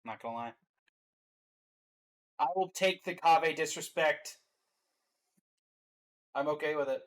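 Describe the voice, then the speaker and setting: A man's voice: on the high side, unhurried. A man co-hosting a reaction video, giving casual, opinionated commentary on game trailers in a wry way.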